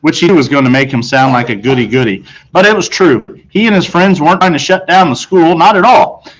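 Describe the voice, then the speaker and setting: A man's voice: a little high, expressive, a little fast, slight background noise, low-quality recording. A man reading aloud to children as a storyteller, in the manner of a teacher narrating a book. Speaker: audiobook reader, teacher.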